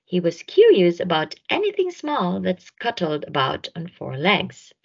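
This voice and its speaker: A woman narrating a children's picture book aloud in an expressive way, with drawn-out emphasis for young listeners. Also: fairly low pitch, creaky, breathy edge, lo-fi sound. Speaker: storyteller reading a picture book.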